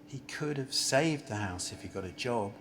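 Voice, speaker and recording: A man's voice: medium pitch, animated, moderate pace, a bit bright, close to an everyday voice. A man acting a bitter, grief-worn former banker down on his luck in an amateur radio play, blunt, cynical and world-weary. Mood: bitter, dismissive. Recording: home recording with faint background noise.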